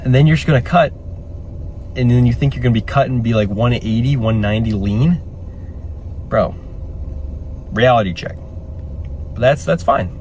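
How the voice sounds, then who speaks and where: A man's voice: medium pitch, normal speed, pauses often, a little mellow, slight background noise. A young man with a congested voice, talking in a hyped, profane style as a fitness vlogger in a gym. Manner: hyped, profane bro talk, keeps adding "okay?". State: congested from a cold. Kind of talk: casual gym vlog talk.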